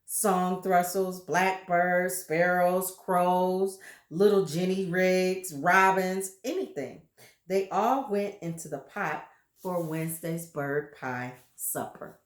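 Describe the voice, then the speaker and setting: A woman's voice: lowish voice, slow pace, roomy sound. A woman reading a children's book aloud expressively, pointing out pictures to her listeners. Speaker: storybook reader.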